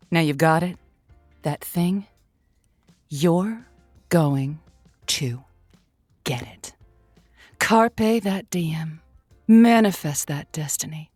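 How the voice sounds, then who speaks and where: Theatrical, playful low-voiced radio hostess. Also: female voice, darkish tone, no room sound. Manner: theatrical, playful, cajoling patter. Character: sultry, playful woman radio lonely-hearts host, theatrical and encouraging. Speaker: late-night radio call-in show host. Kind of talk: radio drama, on-air monologue and chat.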